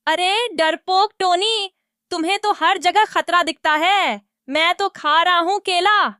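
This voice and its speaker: A woman voicing a cocky, mocking young male monkey with taunting, drawn-out exclamations in a children's animated story. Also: extremely high pitch, no echo, clean studio sound, pitched above the performer's own voice, exaggerated voice. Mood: mocking, boastful.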